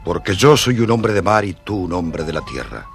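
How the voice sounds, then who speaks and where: Tritón teatral, grave y muy expresivo. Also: male voice, low voice, moderate pace, soft background music. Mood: solemn. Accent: Argentine. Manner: theatrical, expressive. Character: friendly merman, excitable and forgetful, later solemn. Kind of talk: dramatised children's audio storybook acting.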